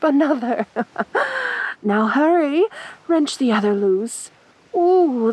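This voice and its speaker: A woman performing an ASMR roleplay as a sadistic, teasing winged siren, speaking softly and breathily with taunting, coaxing mock sympathy. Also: fairly high pitch, heavily acted. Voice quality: soft, breathy.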